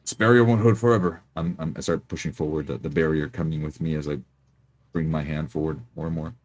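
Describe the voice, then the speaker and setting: A man's voice: a bass voice, fairly even intonation, fast, darkish tone. A man playing a tabletop roleplay, speaking firmly in character and describing his own actions.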